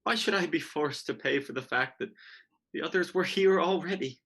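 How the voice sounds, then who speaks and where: Bright-voiced, despairing schoolboy played by a man. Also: a little high, breathy edge. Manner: brooding, deliberate monologue. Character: bitter, despairing schoolboy brooding alone. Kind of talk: dramatic reading of a stage play.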